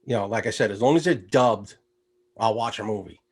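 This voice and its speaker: A man from the United States talking casually as an interview guest, relaxed and rambling, with lots of fillers. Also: on the low side, brisk, husky. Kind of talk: casual conversation.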